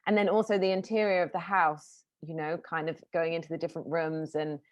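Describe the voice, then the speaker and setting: Lowish-voiced woman interviewer. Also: flat delivery, brisk, highs cut off. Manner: long winding questions with fillers. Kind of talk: friendly interview questions.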